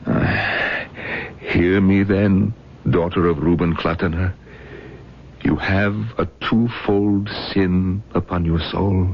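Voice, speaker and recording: An actor playing a troubled, stern village rabbi in a radio drama, speaking in a grave, halting, emphatic way. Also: male voice, deep voice, fairly even intonation, exaggerated voice. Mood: solemn, stern. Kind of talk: 1970s radio drama acting. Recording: muffled, hissy 1970s radio recording.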